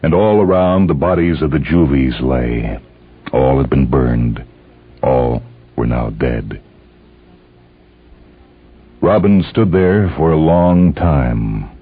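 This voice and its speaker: Extremely deep male story narrator. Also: slow pace, long pauses, breathy edge. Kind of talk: scripted dramatic story narration. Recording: muffled phone-like band, faint music behind.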